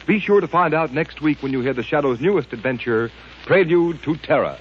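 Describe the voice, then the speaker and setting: A man's voice: a little high, lively intonation, a little fast. A man announcing in a formal, dramatic, scripted 1930s radio style. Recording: muffled 1930s radio recording. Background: music.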